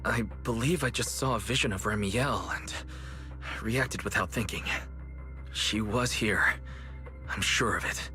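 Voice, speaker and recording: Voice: medium pitch, measured pace, bright, forceful tone, faint music behind. An actor playing a serious, determined investigator in a video game, earnest and analytical. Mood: apologetic, resolute.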